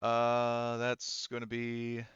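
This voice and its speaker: A man's voice: medium pitch, completely flat delivery, extremely slow, a bit bright, smooth, dry recording, lo-fi sound. A man acting as game master, narrating and refereeing a tabletop roleplaying game in an informal, hesitant, think-aloud way.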